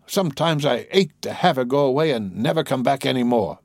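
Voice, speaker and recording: A man voicing a lonely, excitable little old man in an audiobook, with a thin, shaky, halting delivery. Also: mid-range voice, no room sound, clean studio sound, voice pushed up. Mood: agitated, pleading. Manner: halting, stammering, repeating words.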